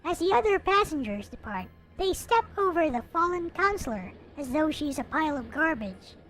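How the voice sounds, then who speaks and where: Female narrator, high and lively, theatrical read-aloud with playful asides, music underneath. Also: moderate pace. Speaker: host reading a visual novel aloud. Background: background music.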